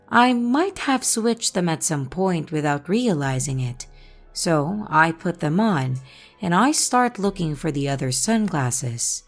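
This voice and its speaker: A woman hosting and narrating creepy first-person stories in a slow, expressive way. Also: on the low side. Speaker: channel host and narrator.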